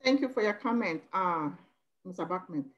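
A woman's voice: fairly low pitch, animated, moderate pace, lo-fi sound. Woman from Nigeria, Igbo native speaker, effusive and emphatic, laptop video call, committee member. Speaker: working group member. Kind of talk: video call meeting comment.

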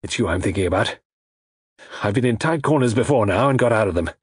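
Man playing a husky, gallant army major. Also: fairly low pitch, expressive, no room sound, clean studio sound. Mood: reassuring. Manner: brisk, plucky, plain-spoken. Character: gallant, stout-hearted army major, protective and practical.

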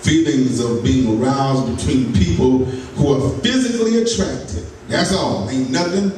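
A man's voice: mid-range voice, expressive, unhurried, darkish tone. A man minister, preaching a folksy sermon in a church sanctuary with laughter and asides to the congregation. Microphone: pulpit mic, filmed by a far camera. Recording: echoey church from far off, noisy.

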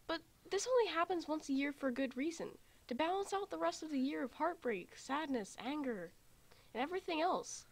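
Confident, blunt anime young man, played by a woman, very high register, amateur fan-drama delivery. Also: expressive, brisk. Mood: insistent lecturing. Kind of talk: amateur fan dub roleplay.

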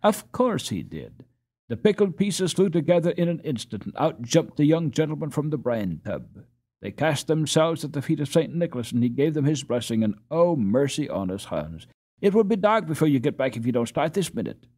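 A man's voice: a little high, very animated, moderate pace, a little mellow, pitched above the performer's own voice. Man voicing a breathless, bustling storybook mother. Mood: animated, urgent. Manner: breathless, emphatic, run-on storytelling. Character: bustling, talkative Dutch mother telling a pious tale. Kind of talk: audiobook character reading.

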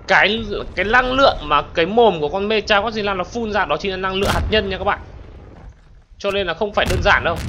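A young man commentating a monster battle video game for his channel, excitable and constantly talking to his viewers. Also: high voice. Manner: excitable, exclaims often, addresses viewers constantly. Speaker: gaming channel host. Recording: game battle noise behind voice. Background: explosions and gunfire from game.

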